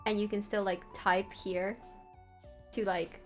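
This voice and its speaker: A woman's voice: mid-range voice, level tone, slow pace, soft background music. A woman streamer walking viewers through a writing tool in an informal, meandering way. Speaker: streamer giving a tutorial. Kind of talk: casual livestream walkthrough of a writing tool.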